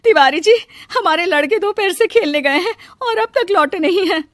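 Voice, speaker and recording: Lady doing worried village mom for cartoon, high swoopy voice, close dry sound. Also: lively intonation, moderate pace, no room sound. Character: anxious village mother in a cartoon. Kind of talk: cartoon story acting.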